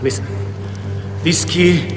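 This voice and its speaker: A man's voice: slow, very noisy, lightly acted. An actor playing a desperate, pleading arms dealer in a video game, frantic and bargaining for his life. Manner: frantic, pleading, breaking into cries. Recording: distant, echoey hall with loud music.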